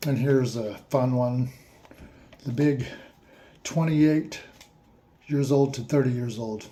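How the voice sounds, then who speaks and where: A man slowly asking listeners a series of reflective questions, with playful asides in a surprised voice. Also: mid-range voice, warm tone. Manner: slow, repetitive questions with mock-surprised asides.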